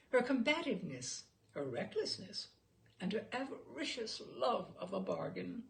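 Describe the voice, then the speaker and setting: A woman's voice: on the high side, gravelly. A woman reading a literary short story aloud expressively, with theatrical emphasis on key words.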